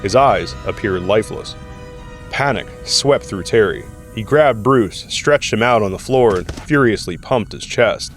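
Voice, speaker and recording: A man's voice: lowish voice, unhurried, faint music behind. A man serving as narrator, reading scripted dramatic story narration.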